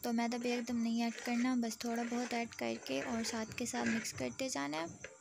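A woman hosting her cooking channel, narrating a home recipe step by step to viewers in a steady, explanatory way. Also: a little high, normal speed, creaky, background noise. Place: home kitchen.

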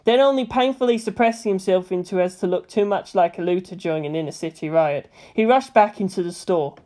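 A woman's voice: on the low side, fairly quick, dark tone. A woman reading scripted fan fiction narration as an audiobook narrator.

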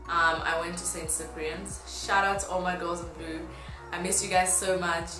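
A woman in her twenties from Tanzania talks casually and brightly in mood, as a blogger addressing followers. Her voice sits a little low for a woman. The room adds a clear echo, and music plays behind her. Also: little pitch movement, moderate pace. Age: 20s. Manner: upbeat, informal. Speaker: fashion blogger. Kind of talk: casual chat to camera.

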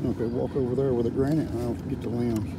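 Man in his 60s, Southern US accent, mid-pitched voice, folksy outdoor vlog talk, loud background motor noise. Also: steady tone, fast. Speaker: fishing vlogger. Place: open fishing boat on a lake, partly in a vehicle.

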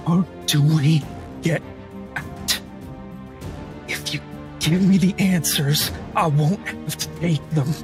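A man's voice: fairly high pitch, takes it slowly, long pauses, over music. A man voicing an angry, menacing doctor who swears and threatens as he demands answers. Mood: furious. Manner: foul-mouthed, threatening.

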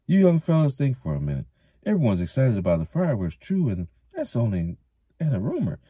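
A man's voice: mid-range voice, wildly swooping pitch, a little fast, warm tone, breathy edge, no echo, voice pushed up. A man voicing a stout, jovial middle-aged mayor and innkeeper in a storybook reading, speaking with hearty authority. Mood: earnest. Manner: hearty, knowing.

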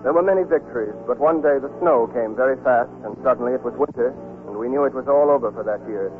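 A man's voice: medium pitch, fast, soft background music. A man hosting and narrating a scripted 1940s radio drama. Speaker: host and narrator. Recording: tinny, muffled 1940s radio broadcast.

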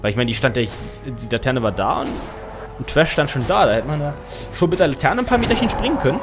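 A young man with a German accent commentates a game from his desk in a loose, rambling way, thinking out loud and fixing his own slips. Music plays clearly underneath his voice. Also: mid-range voice, expressive, quick pace. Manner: chatty, self-correcting, thinks aloud. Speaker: game caster.

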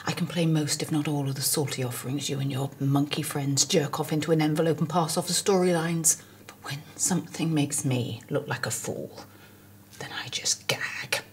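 A woman's voice: low-pitched, fairly even intonation, moderate pace, slight background noise. A woman playing a fiery middle-aged soap actress, cutting, sarcastic and sweary. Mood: scornful menace. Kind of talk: naturalistic screen comedy-drama acting.

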